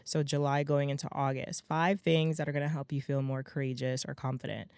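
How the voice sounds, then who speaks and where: A young adult woman hosting her own podcast in a casual, motivational monologue. Her voice is slightly hoarse and raspy, and she talks emphatically, often tagging lines with "right?" and "okay?". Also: extremely low pitch, flat delivery, brisk, darkish tone, no echo. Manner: chatty, emphatic, peppers talk with "right?" and "okay?". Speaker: podcast host.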